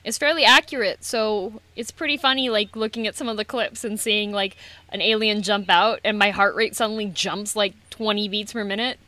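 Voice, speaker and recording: A woman's voice: mid-range voice, steady tone, normal speed, bright, forceful tone, dry recording. A woman co-hosting a casual podcast, upbeat and teasing, with frequent giggles. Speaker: podcast co-host.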